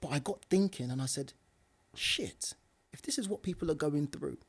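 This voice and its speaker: A young man with a slangy edge speaks as a podcast guest. His voice sits fairly high for a man. He talks with emphatic energy, laughs readily and doubles back on his words. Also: moderate pace, creaky, husky. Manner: animated, emphatic, laughs often, repeats words. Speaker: podcast guest, property investor. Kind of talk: casual podcast conversation.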